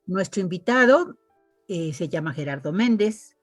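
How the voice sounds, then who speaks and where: A woman's voice: fairly low pitch, expressive, unhurried, dry recording, clean studio sound, faint music behind. A woman hosting an online interview, chatty and reading a guest's résumé aloud with asides. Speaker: interviewer and host. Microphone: video call.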